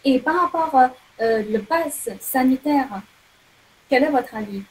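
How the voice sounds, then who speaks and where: Young woman, high voice, interview host, hesitant, webcam. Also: lively intonation, slow pace, a little hiss or hum, low-quality recording. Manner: hesitant, many fillers. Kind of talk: interview questions. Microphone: video call webcam.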